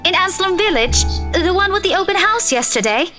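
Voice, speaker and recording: A woman's voice: extremely high pitch, animated, brisk. An actress playing a stern, suspicious young woman in a video game, pointed and exasperated, with music behind. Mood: incredulous. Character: stern, suspicious young woman, sharp-tongued friend in visual novel.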